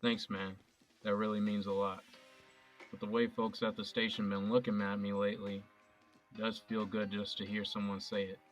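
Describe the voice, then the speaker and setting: A man's voice: fairly low pitch, flat delivery, normal speed, soft background music, lightly acted. A man playing a young adult son with an accused father, bantering with his friend and earnest when it matters. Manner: joking banter that turns earnest. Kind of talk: amateur audio drama acting.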